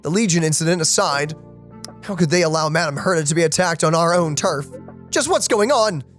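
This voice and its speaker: A man's voice: on the high side, fairly quick, soft background music. A man hosting a let's play, playfully reading game dialogue aloud and adding casual commentary. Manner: playful, animated.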